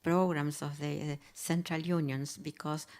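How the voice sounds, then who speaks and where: A woman in her seventies from Argentina, speaking as an artist guest in a public panel conversation, halting and searching for her words. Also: deep voice, little pitch movement, darkish tone. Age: 70s.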